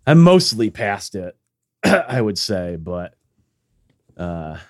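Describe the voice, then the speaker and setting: A man co-hosting a casual podcast chat, congested and tired, talking in a rambling, halting way with many fillers. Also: lowish voice, big pitch swings, dry recording, clean studio sound. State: congested, recovering from illness. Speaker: podcast co-host.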